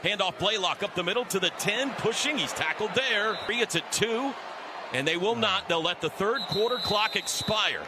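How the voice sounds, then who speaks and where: A man's voice: sits high, expressive, normal speed. An American man calling live football play-by-play on the radio in clipped, staccato phrases, as the announcer. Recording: radio broadcast over loud stadium noise. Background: loud stadium crowd noise.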